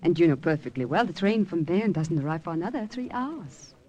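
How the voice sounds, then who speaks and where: Earnest, husky-voiced young woman. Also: lowish voice, big pitch swings, fairly quick, dark tone. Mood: puzzled. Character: earnest, observant young girlfriend, devoted and anxious. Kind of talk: 1950s radio drama acting.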